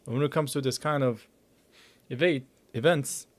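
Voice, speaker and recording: A man's voice: mid-range voice, normal speed. A man hosting a live reaction stream, cutting in with blunt, argumentative casual commentary.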